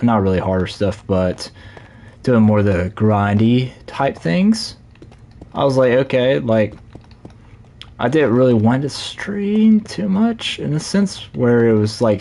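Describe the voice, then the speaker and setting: A man with a low, scratchy voice and plenty of gravel in it, a little hoarse from talking for so long. He chats loosely through a game stream, wandering and correcting himself as he goes. Keys tap quietly in the background. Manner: rambling, self-correcting, many fillers. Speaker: Minecraft live streamer. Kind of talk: casual live-stream chat while playing.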